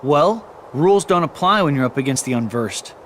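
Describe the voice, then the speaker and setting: A man's voice: fairly high pitch, lively intonation, a little fast, noisy background, lightly acted. A young man playing a serious, earnest male hero in a video game, restrained in manner. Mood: firm, earnest.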